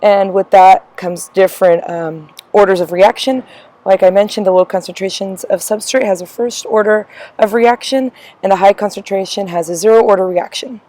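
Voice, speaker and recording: Hoarse young woman presenting for class. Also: medium pitch, steady tone, normal speed, dark tone. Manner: frequent ums, loose and rambling delivery. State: losing her voice, hoarse. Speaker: student presenter.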